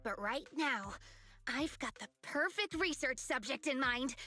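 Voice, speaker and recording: A woman voicing an excitable, eager young woman researcher obsessed with monsters in a video game. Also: high voice, a little slow, faint music behind, exaggerated voice. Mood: excited, musing. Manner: animated, eager, dramatic.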